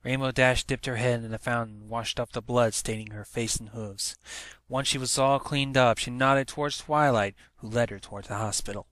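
A man's voice: medium pitch, fairly even intonation, moderate pace, fairly bright tone, no room sound. Man narrating a story, dry close sound. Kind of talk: scripted story narration, fan fiction read-aloud.

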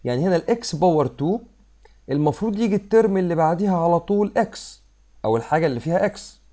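A male math teacher with an Egyptian Arabic accent, slightly hoarse from a cold, working through a problem step by step. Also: high-pitched, lively intonation, racing, mellow. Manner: explains step by step, mixing English math terms into Arabic. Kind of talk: screen-recorded math lesson. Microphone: voice-over on a screen recording.

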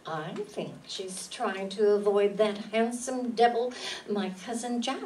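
A woman playing a spoiled, flippant young society wife, whining and drawn-out, in an amateur radio play. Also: medium pitch, fairly even intonation, a little mellow, lightly acted. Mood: gossipy. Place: meeting room stage before an audience. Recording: distant mic, faint room noise.

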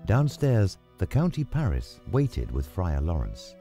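A deep-voiced man narrates a story with dramatic, measured delivery. His pitch moves widely and dynamically, and music plays underneath. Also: wildly swooping pitch, warm tone. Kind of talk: scripted audiobook story narration. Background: background music.

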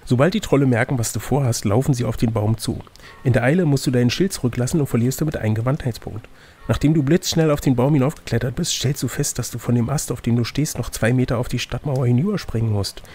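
A man's voice: medium pitch, quick pace, a little mellow, breathy edge, faint music behind. Man reading a gamebook, playfully. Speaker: game master and reader. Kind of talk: reading a fantasy gamebook aloud with casual banter.

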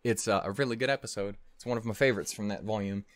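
A man in his thirties giving casual, rambling reaction commentary as a host, swearing and halting as he digresses. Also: lowish voice, fairly even intonation, no room sound. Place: small furnished room at home, indoors. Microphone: close desk condenser microphone.

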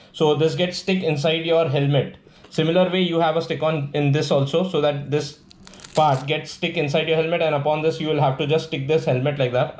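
A man with an Indian accent casually presenting a product demonstration, explaining in a halting, repetitive way. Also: fairly high pitch, a little mellow. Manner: halting, explanatory, repeats himself. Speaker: vlogger presenting a product unboxing. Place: indoors at a tabletop. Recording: echoey room with faint background noise.

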